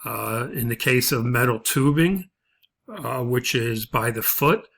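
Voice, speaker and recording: A man instructing through an unscripted spreadsheet walkthrough, explaining things in a halting, matter-of-fact way. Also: medium pitch, creaky, fairly bright tone.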